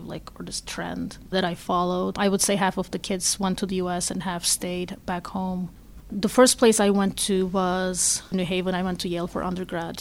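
Turkish-born woman, low gravelly voice. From Turkey. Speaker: interview guest. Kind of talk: personal storytelling interview.

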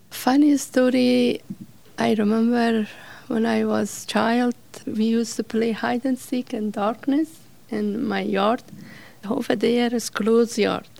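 A middle-aged woman, telling her life story in an interview in halting, simple English with fillers. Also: fairly high pitch, steady tone, vocal fry, a little mellow, low-quality recording. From Afghanistan.

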